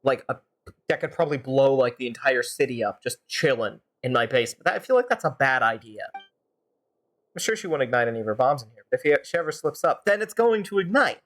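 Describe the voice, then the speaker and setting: A streamer giving casual, rambling game commentary in a mock-exasperated, animated way. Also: mid-range voice, brisk. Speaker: streamer fan-dubbing a game live.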